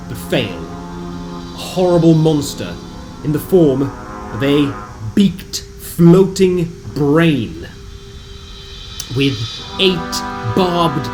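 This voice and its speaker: Man narrating dramatically over prominent music. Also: a little high. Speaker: narrator of a tabletop game session recap. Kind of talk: scripted dramatic storytelling narration. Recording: music bed behind the voice. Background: background music.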